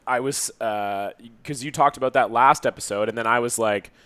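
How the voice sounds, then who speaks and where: A man's voice: medium pitch, moderate pace, fairly bright tone, smooth, no echo. A man co-hosting a podcast, chatting casually with a teasing, joking manner. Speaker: podcast co-host.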